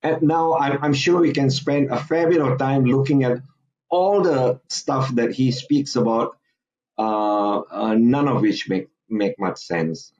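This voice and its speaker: A man's voice: medium pitch, a little mellow, dull audio. A man from Singapore talking as a podcast guest, hesitant and full of "uh"s and restarts. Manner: halting, many fillers and restarts. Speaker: guest commentator. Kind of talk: podcast interview.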